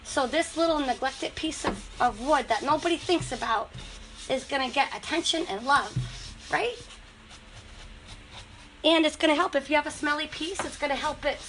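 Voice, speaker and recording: High-voiced chatty woman with a cold. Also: normal speed, pauses often, noisy background. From Western Massachusetts. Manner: chatty, enthusiastic, rambling. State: has a cold. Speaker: furniture painting host. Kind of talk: casual livestream demo.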